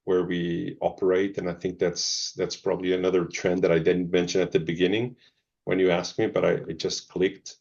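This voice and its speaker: A middle-aged man, answering interview questions thoughtfully and pausing often with ums and restarts. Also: sits low, level tone, gravelly, a little mellow. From Zimbabwe, Portuguese family. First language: Portuguese. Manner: hesitant, many ums and restarts. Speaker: pharma executive guest. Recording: dull lo-fi audio.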